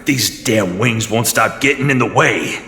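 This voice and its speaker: An actor playing a gruff, weary, tough brawler, muttering sardonically in a superhero audio drama. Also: male voice, mid-range voice, unhurried, bright, forceful tone, slight background noise. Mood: strained urgency. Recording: echoey tunnel reverb, music underneath.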